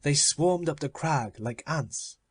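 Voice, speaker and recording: A man reading a literary children's story as a measured audiobook narration. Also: a little high, animated, slightly rough, dry recording.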